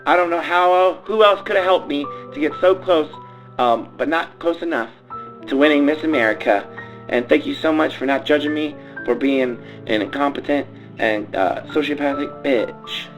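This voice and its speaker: A man's voice: fairly high pitch, moderate pace, faint music behind, natural voice, poor audio. A man playing a vain, melodramatic young Southern pageant queen with a theatrical, gushing delivery in a comic radio play. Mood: sentimental. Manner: theatrical, gushing, shrieking when upset.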